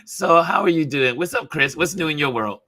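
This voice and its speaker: A man with a bright, forceful voice that has gone a bit hoarse, hosting a casual livestream. He is chatty and greets people as he goes, and the sound is close and dry. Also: fairly high pitch, expressive, brisk, no room sound. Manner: chatty, greets viewers by name. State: hoarse, voice going from talking. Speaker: talk show host.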